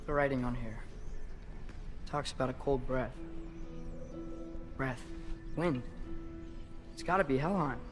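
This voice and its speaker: A man's voice: mid-range voice, a little slow, long pauses, natural voice. An actor playing an earnest, trusting and stubborn teenage boy hero in a cinematic video game, sincere and eager. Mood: curious. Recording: loud music behind the voice.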